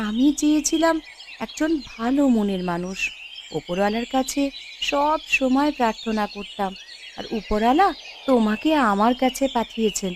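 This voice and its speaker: Soft, earnest young cartoon wife, played by a woman. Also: on the high side, a little hiss or hum, lightly acted. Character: gentle, contented poor young wife. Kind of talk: Bengali cartoon dialogue.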